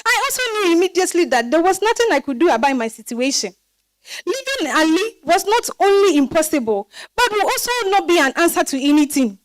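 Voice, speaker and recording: An actress playing a wry, regretful married woman telling her story in an expressive dramatized monologue. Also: very high-pitched, brisk, no room sound. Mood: wry, reflective. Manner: expressive, reflective.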